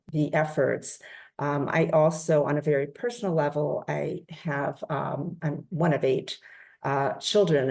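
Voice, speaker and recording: A woman's voice: low voice, vocal fry, darkish tone, slightly rough. A middle-aged woman, a nonprofit founder whose first language was Spanish, telling her story earnestly and reflectively in a podcast interview. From United States.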